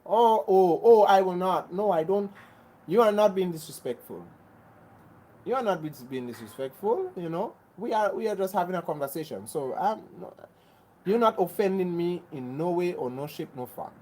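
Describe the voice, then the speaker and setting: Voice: medium pitch, lively intonation, normal speed, a little mellow, slight background noise, low-quality recording. A livestream host from Grenada, talking earnestly to viewers. Often repeats and restarts phrases and speaks in an insistent, preachy way. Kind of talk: casual livestream chat answering viewers.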